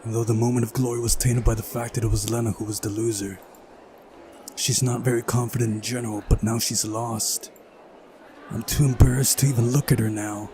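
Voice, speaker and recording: A man's voice: medium pitch, flat delivery, fairly quick, warm tone, slight background noise. A man doing a visual novel playthrough, switching between reading narration aloud and casual commentary.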